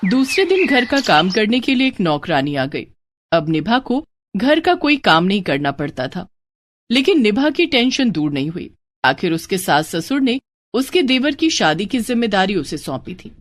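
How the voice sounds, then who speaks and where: Woman reading a scripted moral story, lively pitch, no room echo. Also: normal speed. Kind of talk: scripted Hindi moral bedtime story narration.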